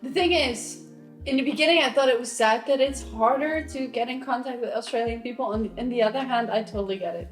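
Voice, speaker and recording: A woman's voice: fairly high pitch, a little fast, reverberant, soft background music. A young woman from Europe chatting casually, giggling often as a vlogger. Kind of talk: casual chat.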